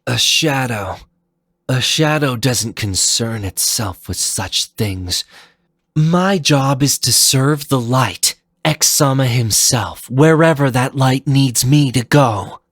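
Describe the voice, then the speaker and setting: A man's voice: mid-range voice, lively intonation, takes it slowly, a bit bright, no echo, clean studio sound, pitched above the performer's own voice, exaggerated voice. Man voicing a solemn, devoted shadow ninja. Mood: resolute. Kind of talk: dramatic fan fiction reading.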